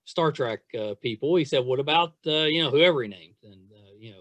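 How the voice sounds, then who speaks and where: A middle-aged man hosting a podcast, chatting and telling stories in an excitable, effusive way, repeating phrases for emphasis. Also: on the high side, fairly quick, no echo. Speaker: podcast host interviewing a guest. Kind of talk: casual chat, storytelling.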